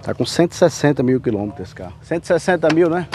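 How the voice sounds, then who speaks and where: A man from Brazil giving a folksy, chatty sales pitch while filming cars at an outdoor car fair on a handheld camera. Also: very animated, fast talker, background noise. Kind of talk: walkaround sales vlog. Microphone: handheld camera mic, filming.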